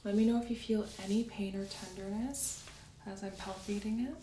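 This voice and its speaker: A soft-spoken woman doing an ASMR medical roleplay as a doctor, giving gentle, polite step-by-step instructions. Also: mid-range voice, steady tone, normal speed, far from the mic. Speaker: ASMR performer playing a doctor. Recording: roomy sound, off-mic, faint hiss.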